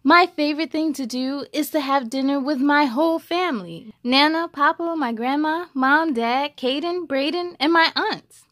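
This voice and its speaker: A young American woman hosting a children's story time, reading aloud expressively with playful, animated delivery and friendly asides. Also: high voice, normal speed, hardly any pauses, no echo. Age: young adult. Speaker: host of a children's read-aloud show.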